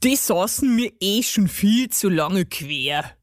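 A cheeky, ill-tempered male garden gnome from a storybook acted out by a woman narrator. He scolds in rough everyday speech with a bandit's swagger. The sound is dry and close. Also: medium pitch, animated, slow pace, dry recording. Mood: strained, offhand. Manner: scolding, gruff colloquial speech.

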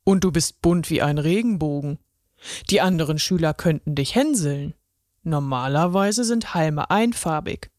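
Woman voicing a deep-voiced kindly pen conductor. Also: a little slow, no echo, studio quality. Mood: friendly, curious. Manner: gentle, encouraging. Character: kindly male pen ticket inspector with mustache. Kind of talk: children's story reading.

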